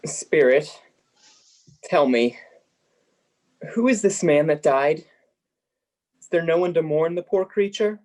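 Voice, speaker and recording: A performer playing a fearful, repentant old miser in a radio play, halting and pleading. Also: mid-range voice, animated, a little mellow, rough recording. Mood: uneasy.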